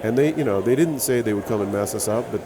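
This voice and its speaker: A man's voice: fairly low pitch, fast talker, background noise. A man with a Hawaiian accent, a brewer and author telling stories as a guest in a casual interview.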